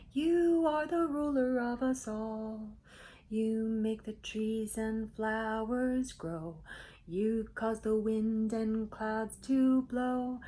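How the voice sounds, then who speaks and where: A woman voicing a brave rainbow crow in a storybook, singing in a sweet, drawn-out voice. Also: on the high side, warm tone, pitched above the performer's own voice. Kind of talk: sung storybook character voice.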